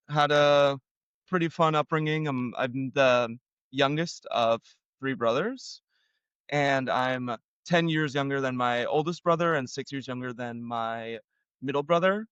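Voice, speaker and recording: Smooth-voiced man telling a story. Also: medium pitch, slow pace, no echo, dull audio. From Argentina. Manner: relaxed, self-deprecating, lots of fillers. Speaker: podcast guest. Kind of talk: casual storytelling.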